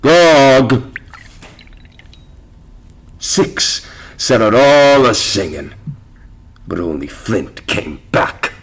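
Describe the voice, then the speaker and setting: An actor playing a haunted old pirate captain in a theatrical drama, gruff, barking demands and brooding in fear. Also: male voice, mid-range voice, big pitch swings, drawn-out words, pauses often, full character voice. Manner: barks demands, broods fearfully. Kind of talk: theatrical stage pirate acting.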